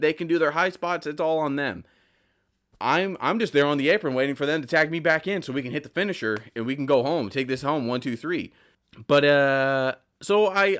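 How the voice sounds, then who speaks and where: A man's voice: medium pitch, a bit bright. A man hosting a solo podcast in casual, rambling talk, often correcting himself and drifting into asides. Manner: self-correcting, digressive, conversational. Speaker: podcast host.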